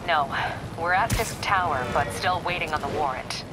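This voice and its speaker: An actress with an American accent playing a businesslike, by-the-book police captain in a video game, terse and cautious. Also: female voice, sits low, moderate pace, heavy background noise, close to an everyday voice. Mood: firm, wry.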